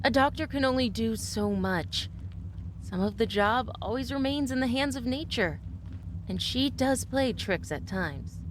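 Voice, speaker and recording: A woman's voice: on the high side, expressive, measured pace, slight background noise. A woman playing a spirited, clever doctor's wife in an old-time radio style drama. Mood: soothing. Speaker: actress.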